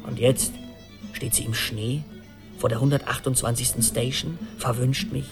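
A man's voice: medium pitch, normal speed, natural voice. Actor as anxious, rambling middle-aged man over music. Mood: agitated, incredulous. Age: 40s. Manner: animated storytelling, urgent, rambling. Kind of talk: radio play acting, conversational monologue.

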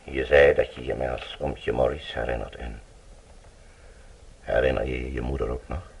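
An older, guilt-ridden politician with an extremely low voice full of gravel. He is husky and world-weary, brooding over his words in a bitter, confessional radio drama manner. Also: fairly even intonation, moderate pace, dull audio, exaggerated voice.

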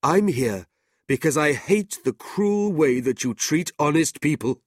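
Indignant clerk, a man putting him on, high voice, loud, dry close sound. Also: expressive, unhurried, no echo. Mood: accusing. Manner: high-pitched and fervent.

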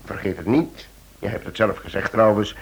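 An actor playing a persuasive police chief in a radio play, speaking deliberately and coaxing with repeated words. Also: male voice, fairly low pitch, animated, slightly rough, rough recording. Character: persuasive male police chief pressing a friend for help. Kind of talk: Dutch-language radio play acting.

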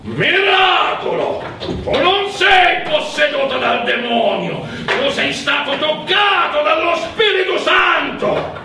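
An actor playing a fanatical, pompous exorcist priest who declaims and chants mock Latin in a theatrical stage drama. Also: male voice, extremely high pitch, expressive, slow, gravelly, noisy background, heavily acted. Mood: exalted preaching. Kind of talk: theatrical stage storytelling for radio. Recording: very echoey stage, distant, music.

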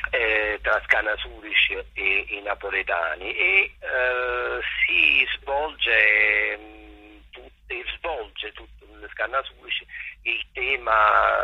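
A raspy-voiced man explaining his work reflectively as a theatre director in an interview, halting and repeating phrases as he searches for words. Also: lowish voice, no echo. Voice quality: gravelly, raspy. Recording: dull lo-fi radio or telephone sound.

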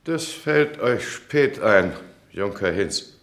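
An actor playing a grave, righteous high chancellor in a German radio drama, stately and admonishing. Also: male voice, lively intonation, slow pace, a bit bright, exaggerated voice. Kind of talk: German radio drama acting, formal oratory. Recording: roomy studio radio drama recording.

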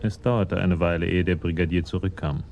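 A storyteller in a radio police drama, narrating in a low, gravelly voice. Faint noise sits behind the measured narration. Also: male voice, fairly even intonation, a little mellow. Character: male storyteller of a police crime tale.

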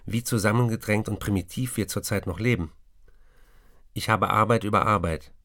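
A man's voice: on the low side, dry recording, studio quality, natural voice. An actor reading letters as a harried, wry postwar male writer in a radio drama.

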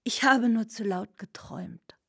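An actress playing an evasive, wounded young lover in a radio drama, hushed and halting, slipping into whispers. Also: female voice, a little high, gravelly, husky, no echo, studio quality, full character voice. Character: young woman lover, evasive, startled and hurt.

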